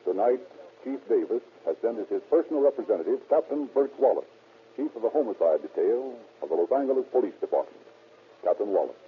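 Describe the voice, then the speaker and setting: A man's voice: medium pitch, animated, fast, noisy background. A man announcing a radio drama in a scripted 1930s broadcast style. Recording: tinny 1930s radio recording.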